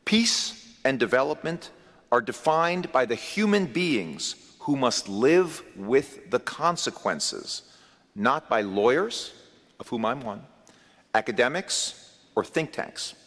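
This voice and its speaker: A man's voice: medium pitch, very animated, a bit bright. Man speaking formally in a reverberant hall. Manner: measured, emphatic delivery with deliberate pauses. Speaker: U.S. official giving a speech. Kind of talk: formal prepared speech. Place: large conference hall. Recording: long reverb of a large hall.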